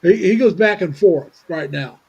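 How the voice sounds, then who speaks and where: Rambling seventy-year-old man, husky and mellow. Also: high-pitched, expressive, dull audio. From Indiana, USA. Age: 70s. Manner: rambling, halting with many restarts. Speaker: livestream host. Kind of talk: casual livestream chat. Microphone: video call.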